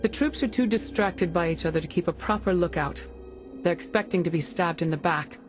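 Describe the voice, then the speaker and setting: An actress playing a serene, perceptive mystic leader in a video game, composed, grave and deliberate. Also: female voice, fairly low pitch, soft background music, lightly acted. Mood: grave, watchful.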